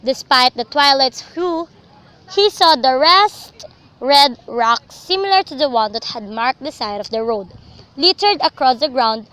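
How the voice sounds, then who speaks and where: High, bright young Filipina narrator. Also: female voice, expressive, a little slow, clean tone, low-quality recording. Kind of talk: scripted story narration for radio drama.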